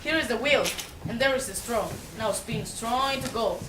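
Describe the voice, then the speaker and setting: A stern storybook king acted by a woman gives emphatic orders in a high voice. The stage-play delivery rings around an echoey room, with clear noise behind it. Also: moderate pace, recorded from a distance, close to an everyday voice. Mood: commanding. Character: stern, demanding king threatening a girl.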